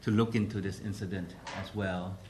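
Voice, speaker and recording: Man, low voice, formal press briefing, halting delivery with fillers; slight room noise. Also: fairly even intonation, a little mellow. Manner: hesitant, formal, many pauses and restarts. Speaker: council president briefing the press.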